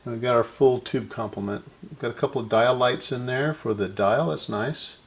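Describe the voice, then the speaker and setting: A man with a gravelly voice casually narrating his hands-on repair work as host, musing and thinking aloud as he goes. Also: mid-range voice, moderate pace. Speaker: host of a vintage radio repair video.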